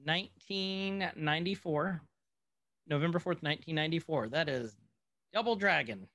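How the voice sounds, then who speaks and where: A man's voice: a little high, moderate pace, a bit bright, no echo. A man co-hosting a podcast, chatting casually and with opinions about old movies. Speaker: podcast co-host.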